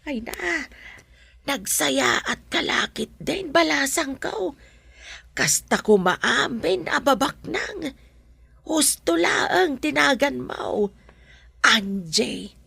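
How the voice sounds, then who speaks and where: A woman playing a humble, grateful middle-aged mother in a radio drama, with a husky, creaky voice. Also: high voice, lively intonation, slow pace, bright, forceful tone, dry recording, exaggerated voice. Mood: grateful, admiring. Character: humble, poor, effusively grateful mother of the groom.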